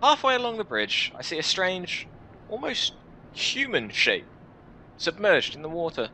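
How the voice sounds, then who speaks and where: Guy doing a gaming vid, reading the story out all dramatic, lively up and down voice, bit of noise behind him. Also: high-pitched, a little slow, poor audio. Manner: expressive, theatrical reading. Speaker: gaming video host. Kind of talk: dramatic read-aloud of a visual novel with casual asides.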